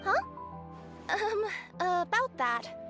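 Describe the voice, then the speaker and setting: A woman voicing a perky, easily flustered young female cat-spirit courier in a video game, with a girlish squeak. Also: big pitch swings, slow, full character voice. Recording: music playing behind the voice.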